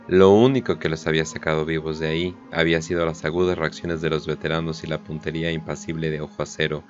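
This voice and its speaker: A man's voice: very deep voice, muffled recording. A man narrating a scripted war story. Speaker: audiodrama narrator.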